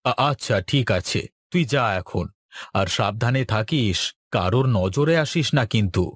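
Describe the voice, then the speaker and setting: A man's voice: mid-range voice, animated, normal speed, husky, dry recording, studio quality. A man voicing a protective father in a village fairy-tale cartoon, with a cautioning, paternal manner. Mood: worried, cautioning. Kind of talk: Bengali cartoon voice acting. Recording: music under the voice.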